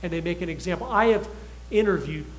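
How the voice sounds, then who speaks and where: A man's voice: high-pitched, fast, faint music behind. A man, a talk show guest, speaking urgently and emphatically, with frequent restarts. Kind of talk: impassioned talk show monologue.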